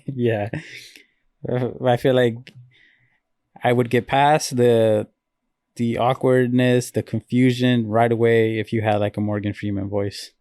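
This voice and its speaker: A man's voice: mid-range voice, flat delivery, unhurried, warm tone, no echo. Chill podcast guy, mid voice, short answers, super dry sound. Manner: laid-back, brief replies. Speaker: podcast co-host. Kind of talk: casual chat.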